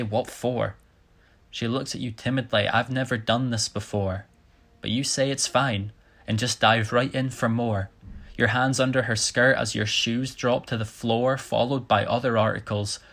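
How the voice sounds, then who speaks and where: A man's voice: medium pitch, fairly bright tone. Young British man performing slam poetry. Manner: rhythmic, theatrical storytelling delivery. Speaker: slam poet.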